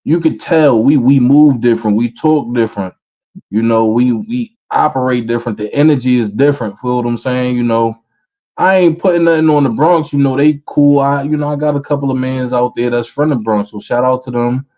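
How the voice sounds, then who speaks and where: Man, 30s, New York accent, mellow dark voice, relaxed, rapper guest, lo-fi recording. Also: medium pitch, fairly quick. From Brooklyn, New York, USA. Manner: relaxed, repeats "feel what I'm saying". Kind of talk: casual interview answers.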